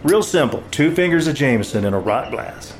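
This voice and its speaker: An actor playing a smooth, cocky, foul-mouthed villain in an audio drama thriller, knowing, teasing and self-assured. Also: male voice, medium pitch, expressive, brisk, close to an everyday voice. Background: music.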